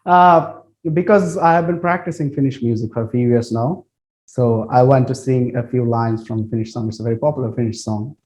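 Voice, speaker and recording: A man's voice: mid-range voice, fairly quick, a little mellow. A man hosting a podcast interview, a non-native English speaker who asks eager questions and laughs often. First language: not English. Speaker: podcast host.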